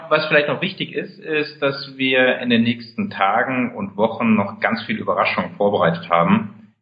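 A man in his twenties who organizes an event, answering interview questions in a measured way with restarts and hesitations. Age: 20s. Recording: echoey, dull low-fi sound.

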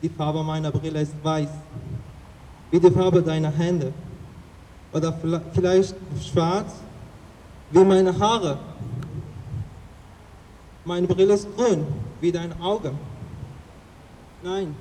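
Slow, mellow man reciting poetry. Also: a little high, steady tone, a little hiss or hum. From Afghanistan. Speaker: poet reciting own poem. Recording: long echoing reverb.

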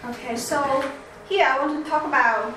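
A woman with a Chinese accent giving a seminar presentation, halting with many restarts and fillers. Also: high-pitched. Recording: echoey room, faint background noise.

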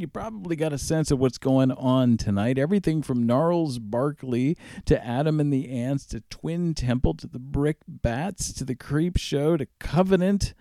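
A man's voice: medium pitch, mellow, no echo, clean studio sound. A man hosting a music radio show, casually back-announcing songs in an upbeat, enthusiastic manner. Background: music under the voice.

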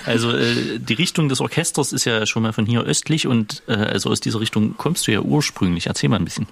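A man's voice: medium pitch, quick pace, creaky, slightly rough, dry recording. A man hosting an interview, asking casual, blunt questions. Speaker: host.

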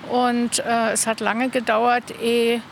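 A woman with a high voice answers interview questions as an organiser. She pauses often to find her words, with faint street and vehicle noise behind her. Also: little pitch movement, vocal fry. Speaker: interviewee, bus tour organiser.

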